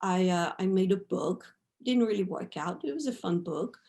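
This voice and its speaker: A woman's voice: medium pitch, lively intonation, moderate pace, vocal fry, dark tone. A woman, a guest artist talking reflectively about her life, often adding "right?" and "you know". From France. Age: 50s. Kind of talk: reflective interview talk.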